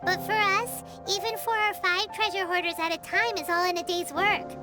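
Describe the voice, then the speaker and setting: A woman voicing a tiny, cheerful, inquisitive fairy girl with a squeaky, childlike voice in an anime game. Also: steady tone, moderate pace. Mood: skeptical. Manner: speaks of herself in the third person. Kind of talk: anime game character dialogue. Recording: loud game music behind the voice.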